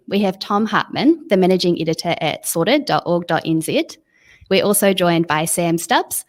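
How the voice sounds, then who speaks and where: A woman hosting a webinar, introducing and moderating in a prepared, presentational style. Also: on the low side. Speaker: webinar host.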